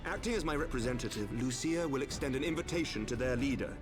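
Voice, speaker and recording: A man performs an earnest, noble knight and statesman from a fantasy video game. He speaks in courtly, measured phrases at a medium pitch, with music clearly behind him. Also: natural voice. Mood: courteous, earnest. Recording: game dialogue under clear background music.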